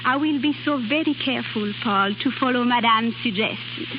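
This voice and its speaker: An actress playing a proud, secretly romantic young singer with a French accent, in 1930s radio style. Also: female voice, on the high side, a little fast, close to an everyday voice. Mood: bitterly mocking. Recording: dull scratchy 1930s radio recording, music.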